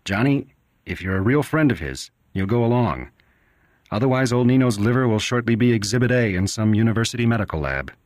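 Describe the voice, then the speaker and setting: A blunt, cynical doctor, played by a man reading aloud talks in a flippant, matter-of-fact way. His voice sits a little higher than the narrator's own, and the sound is dry and close. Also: animated, a little fast, no room sound.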